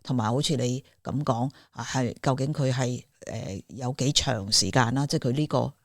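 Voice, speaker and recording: Hk cantonese lady psychologist chatting on radio, lowish voice, throws in english terms, very dry sound. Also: normal speed, no echo. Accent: Hong Kong Cantonese. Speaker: clinical psychologist guest. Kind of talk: conversational expert explanation on a radio talk.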